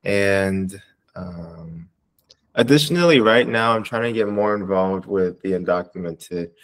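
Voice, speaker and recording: A man in his twenties with an American accent, answering interview questions casually in a hesitant, halting way with many pauses. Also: sits low. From Southern California, United States. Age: 20s. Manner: hesitant, halting, pausing often between short phrases. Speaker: interviewee, community advocate.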